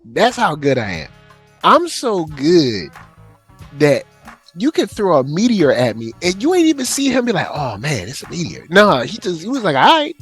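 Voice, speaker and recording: Man, podcast host, hyped, very lively pitch, faint music. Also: normal speed, breathy edge. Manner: animated, hyped, acts out quotes. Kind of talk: excited casual chat.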